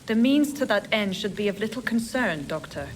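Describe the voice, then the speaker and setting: An actress playing a brisk, no-nonsense hospital nurse in a period video game, with a formal, pointed way of talking. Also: female voice, medium pitch, lively intonation, slight background noise, close to an everyday voice. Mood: brisk, stern. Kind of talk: period drama game voice acting. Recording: game music behind the voice.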